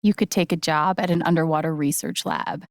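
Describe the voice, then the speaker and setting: A woman playing a sincere, gently wry young game heroine, with an American accent and an understated, conversational delivery. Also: deep voice, fairly even intonation, a little fast, creaky, no room sound, studio quality. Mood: playful. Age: young adult. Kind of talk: naturalistic video game voice acting.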